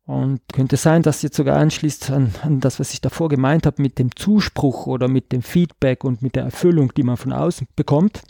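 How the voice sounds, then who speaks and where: Gravelly-voiced South Tyrolean man musing. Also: mid-range voice, fairly even intonation, moderate pace, few pauses, mellow, no echo, clean studio sound. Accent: South Tyrolean German. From South Tyrol, Italy. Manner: searching, full of fillers and restarts, thoughtful. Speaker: artist, podcast guest. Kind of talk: reflective conversational interview.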